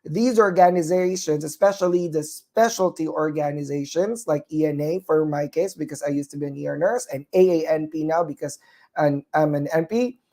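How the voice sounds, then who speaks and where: A man's voice: a little high, normal speed, warm tone. A man with a Filipino accent, a nurse practitioner co-hosting a talk show, giving earnest, encouraging advice with many fillers and restarts. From Philippines.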